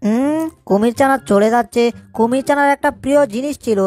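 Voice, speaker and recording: A woman's voice: high voice, brisk, a little mellow, lightly acted. A woman voicing a small male mouse in a cartoon dub, fussy and exasperated but kindly.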